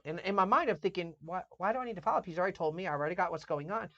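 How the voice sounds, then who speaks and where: Brief: quick, animated woman co-host acting out her stories, lo-fi dull podcast sound. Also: on the low side. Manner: animated storyteller who acts out both sides of conversations. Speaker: pastor and co-host. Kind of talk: casual podcast chat.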